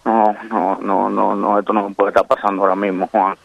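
Man, Dominican accent, from New York, lowish pitch, halting, pleading, apologetic, dull phone audio. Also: little pitch movement, vocal fry. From Queens, New York, of Dominican parents. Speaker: prank call victim, security worker. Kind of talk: unscripted phone conversation. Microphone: telephone. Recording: dry, dull phone call.

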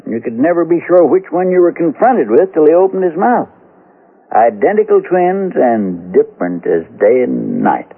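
Rustic American ex-lawman, folksy radio-western storyteller, rough-edged voice, thin vintage radio sound. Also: on the high side, sing-song, normal speed, heavily acted. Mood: grim storytelling. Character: folksy former frontier sheriff telling a grisly tale. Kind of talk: 1970s radio western acting. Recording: muffled, phone-like old radio recording.

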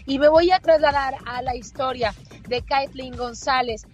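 A woman with a Mexican accent hosting a talk show in a husky voice, speaking in an earnest, reflective, conversational way. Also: sits high, normal speed, soft background music. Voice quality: husky, hoarse-edged voice. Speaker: show host. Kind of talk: conversational radio-style talk.

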